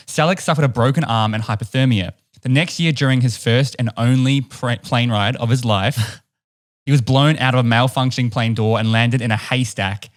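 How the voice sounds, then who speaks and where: A young man in his twenties with a New Zealand accent, co-hosting a casual podcast at home on a close boom mic, telling a long story with chuckles along the way. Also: moderate pace. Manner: animated storyteller, laughs mid-sentence. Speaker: podcast co-host. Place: home room with stone wall, indoors. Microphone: boom studio mic close up.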